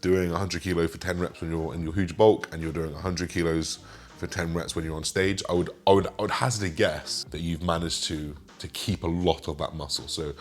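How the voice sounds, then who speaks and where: A man in his twenties with a slightly raspy voice, chatting casually as he answers viewer questions and rambles with fillers. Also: low-pitched, flat delivery, brisk. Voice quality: raspy today. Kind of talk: casual Q and A monologue.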